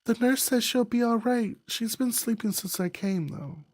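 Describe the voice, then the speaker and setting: A woman's voice: on the high side, lively intonation, moderate pace, darkish tone, dry recording, pitched above the performer's own voice. A woman voicing a polite, worried and dutiful daughter in a visual novel. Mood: surprised, polite.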